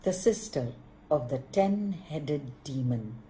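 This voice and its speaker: A middle-aged woman, gently narrating a story for a puppet show in measured phrases with long pauses. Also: sits low, lively intonation, crowd noise. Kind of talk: slow scripted narration.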